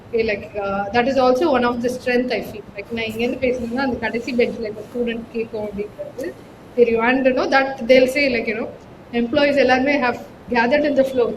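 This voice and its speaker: Fast-talking Tamil Indian woman, noisy recording. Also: fairly even intonation. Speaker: HR professional, interview guest. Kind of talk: conversational interview, code-switching storytelling. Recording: clearly noisy with low rumble.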